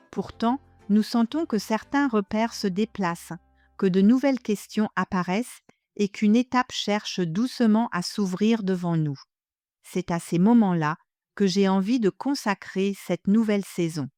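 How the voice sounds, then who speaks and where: An older woman from Germany, a native German speaker, reads scripted podcast narration as host, in a measured, reflective manner, addressing the listener directly. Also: mid-range voice, darkish tone. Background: music.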